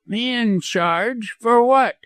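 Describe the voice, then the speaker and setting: A man's voice: very high-pitched, slow pace, fairly bright tone, clear, resonant tone, no room sound, pitched above the performer's own voice, heavily acted. A man voicing a venomous, cowardly lawyer villain with a harsh, snarling manner in an audiobook story. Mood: frightened, blustering. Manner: snarling, gasping, harsh, chuckling.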